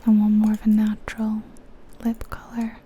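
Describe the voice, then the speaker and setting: Woman, ASMR whisper, breathy, very mellow, even flat intonation, musing and indecisive, game commentary. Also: medium pitch, moderate pace. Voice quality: breathy, mostly unvoiced whisper. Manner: musing, indecisive thinking aloud. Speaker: ASMR video creator.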